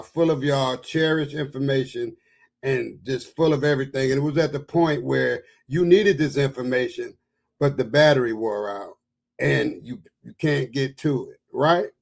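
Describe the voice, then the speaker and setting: A man's voice: medium pitch, moderate pace, creaky, darkish tone. A man on a discussion panel, talking in an emphatic, rambling way and insisting on being heard.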